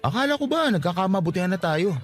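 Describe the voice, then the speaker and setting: Guy acting young working guy in love, fast talking, high voice, begging and insistent, radio drama. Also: very animated, faint music behind. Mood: hurt, accusing. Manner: pleading, insistent. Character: lovestruck, persistent young working-class man pleading. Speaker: voice actor in a radio-style love story.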